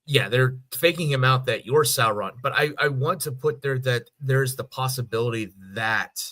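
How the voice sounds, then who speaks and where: A man co-hosting a podcast in casual fan discussion, halting and repeating words as he thinks. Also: mid-range voice, pushed and bright. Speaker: podcast co-host.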